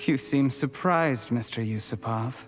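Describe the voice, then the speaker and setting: A man's voice: medium pitch, a little slow, over music, lightly acted. Man acting a bitter, ambitious schemer, controlled voice. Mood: bitter, resentful. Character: bitter, ambitious commoner man scheming against his patron. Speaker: video game character voice. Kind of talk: dramatic game voice acting.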